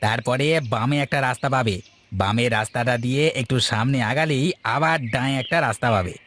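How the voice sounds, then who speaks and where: A man's voice: mid-range voice, fairly quick, fairly bright tone, slight background noise, natural voice. A man voicing an eager village henchman in a cartoon, emphatic and deferential like an informant. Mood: matter-of-fact. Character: anxious, eager village henchman reporting to his master. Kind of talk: Bengali moral-story cartoon dubbing.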